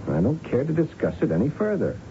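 A man's voice: a little high, huge pitch swings, fast, dark tone. An actor playing a prim, upright, moralistic man in a 1970s radio drama. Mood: prim, indignant. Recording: muffled, noisy old radio broadcast.

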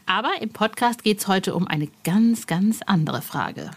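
A woman's voice: mid-range voice, animated, a little slow. Chatty woman hosting a podcast. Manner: informal, playful, addresses listeners directly. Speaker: podcast host. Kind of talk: chatty fan podcast presenting.

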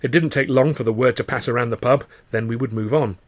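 A male narrator reads a scripted comic story in audiobook style. His pitch is middling for a man, and he tells it wryly, as the author of the tale. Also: fast talker.

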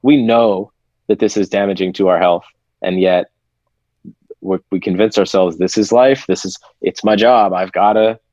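A man's voice: low voice, steady tone, moderate pace, darkish tone, dry recording. A man from Seattle, a podcast guest talking candidly and reflectively, sometimes swearing in casual conversation. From Seattle, USA. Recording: dull, lo-fi remote audio.

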